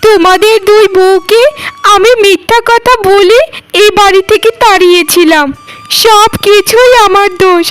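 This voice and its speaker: Very high, plaintive young village sister voiced by a woman. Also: a bit bright. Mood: ashamed pleading. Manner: plaintive, lamenting. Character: young unmarried village sister, guilt-ridden and pleading. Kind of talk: melodramatic cartoon dialogue. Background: faint music.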